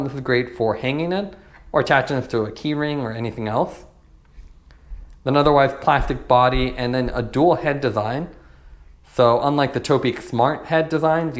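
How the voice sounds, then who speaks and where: Guy doing a casual product review, mid pitched voice, plain matter of fact explaining.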